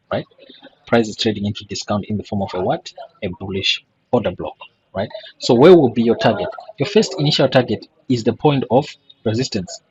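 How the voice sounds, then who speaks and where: A man with a hoarse, husky voice teaching a forex trading lesson over a chart, checking in with "right?" and asking rhetorical questions. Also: on the low side, dull audio, a little hiss or hum. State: voice still hoarse after a throat infection. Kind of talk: screen-share chart walkthrough lesson.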